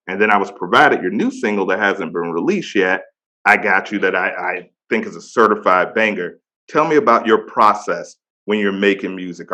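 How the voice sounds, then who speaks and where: A man in his thirties with a deep voice, hosting a casual interview and praising his guest with slang. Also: pushed and bright. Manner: enthusiastic, slang-heavy praise. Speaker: podcast host.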